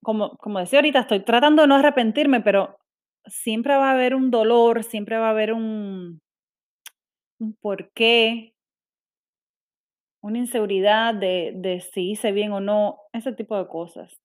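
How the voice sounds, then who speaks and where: A woman's voice: a little high, fairly even intonation, measured pace. A young woman from Cuba with a Cuban accent, speaking candidly and self-critically in a personal monologue, with frequent restarts. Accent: Cuban Spanish.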